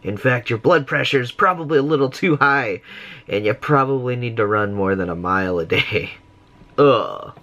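Bright-voiced young man, chatty vlog. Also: mid-range voice, animated, normal speed, dull audio. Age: young adult. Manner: chatty, rambling, self-mocking. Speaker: vlogger. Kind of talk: casual daily vlog chat. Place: small bedroom, indoors. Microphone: camera close to face.

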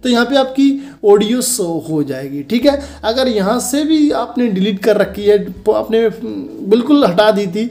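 A man's voice: high voice, expressive, measured pace, few pauses, darkish tone. A young man from India hosting a phone tutorial, explaining each step casually and directly to viewers, often adding short check-in tags. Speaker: host of a phone tutorial channel.

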